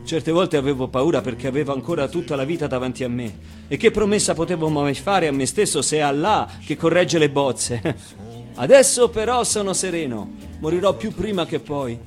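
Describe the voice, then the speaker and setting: A man's voice: on the high side, lively intonation, normal speed, faint music behind, lightly acted. Stage monologue role: man as serene elderly storyteller in his eighties, kind, reflective, wistful. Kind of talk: theatrical monologue.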